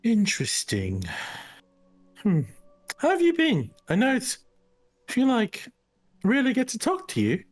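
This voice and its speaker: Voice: mid-range voice, wildly swooping pitch, soft background music. A player voicing a hesitant young male companion who stammers and halts often. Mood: flustered. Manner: stammering, halting.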